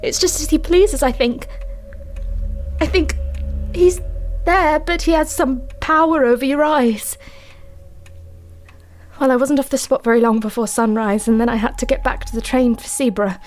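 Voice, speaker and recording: A high-pitched actress playing a haunted woman in an audio drama, confessing a terrible dread. She is distraught and halting, with music playing under her voice. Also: natural voice. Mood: haunted, uneasy.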